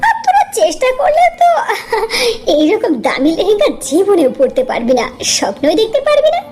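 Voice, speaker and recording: A woman's voice: very high-pitched, huge pitch swings, brisk, a little mellow, exaggerated voice. A woman playing a haughty young rich woman in a cartoon, taunting the poor with sneering mock pity. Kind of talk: animated story voice acting.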